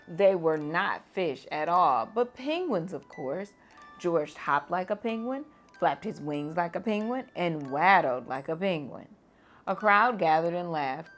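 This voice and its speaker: Slow, gentle storytime woman. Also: on the low side. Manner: gentle, expressive, lively on exclamations. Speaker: storytime reader. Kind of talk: children's read-aloud.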